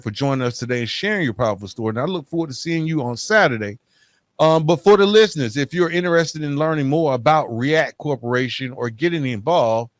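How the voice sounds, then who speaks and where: A man's voice: fairly high pitch, no room sound. Man hosting a podcast, dry close sound. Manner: halting, searching for words. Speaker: podcast host. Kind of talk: hosting an interview podcast, wrapping up with calls to action.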